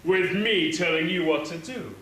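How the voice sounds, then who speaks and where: A man's voice: on the high side, moderate pace, natural voice. A man playing a scheming, pompous pantomime baron who gloats theatrically on stage. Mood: scheming, gloating. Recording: distant, echoey, noisy stage recording.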